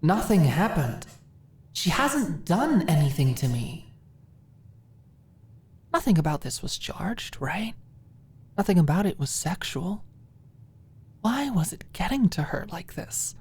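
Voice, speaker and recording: A female audiobook narrator with a distinctly low voice. She reads fiction very slowly and expressively in an ASMR style, leaving deliberate, dramatic pauses. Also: very animated, darkish tone. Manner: dramatic, deliberate pauses, drops at times into breathy whisper.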